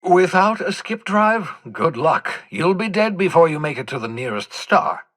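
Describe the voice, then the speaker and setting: An actor playing an eccentric, jittery older fugitive scientist in a video game, speaking in a theatrical, wordy, wry way with hesitant asides. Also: male voice, on the high side, sing-song, measured pace, fairly bright tone, full character voice. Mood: breezy, candid. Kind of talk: video game character dialogue.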